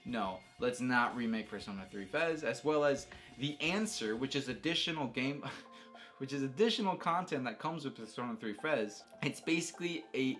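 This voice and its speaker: A man giving casual, informal commentary on a video game as a reviewer, animated and given to rambling asides. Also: mid-range voice.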